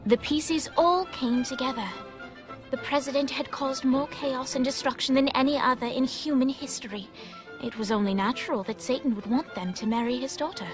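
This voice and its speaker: A woman's voice: high voice, normal speed, lightly acted. A woman playing a composed, wry storybook narrator, reading scripted narration for a video game. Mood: wry, measured. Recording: music behind the voice.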